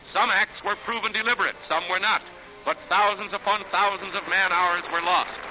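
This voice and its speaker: A man's voice: high voice, steady tone, moderate pace, rough recording. An American man narrating a 1940s newsreel in a dramatic, declamatory manner. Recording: 1940s newsreel soundtrack with loud music.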